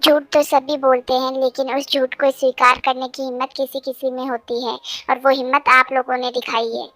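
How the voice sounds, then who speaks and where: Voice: high voice, little pitch movement, normal speed, bright, forceful tone, dry recording, faint music behind. A performer voicing an earnest, honest, polite young schoolboy in a cartoon. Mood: forgiving.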